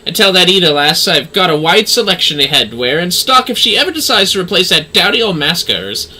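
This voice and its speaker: Guy putting on a pushy shopkeeper lady for a game, loud, bright, sardonic. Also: high-pitched, sing-song, brisk, husky, voice pushed up, exaggerated voice. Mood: mocking, brisk. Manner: brassy, mocking, salty. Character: brash, shrewd, sardonic female merchant.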